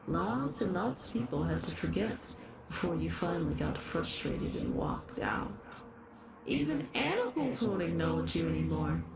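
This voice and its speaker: A woman voicing a possessive, obsessive eldritch horror in a scripted roleplay, speaking formally and deliberately, with a creaky, soothing yet sinister delivery. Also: mid-range voice, background noise. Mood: calm gloating. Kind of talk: scripted roleplay audio monologue.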